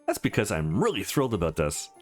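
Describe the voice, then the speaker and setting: Guy doing a bubbly sweet young girlfriend in a game, very swoopy pitch, music behind. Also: wildly swooping pitch, fast talker. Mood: thrilled, grateful. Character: enthusiastic, sweet young woman on a date.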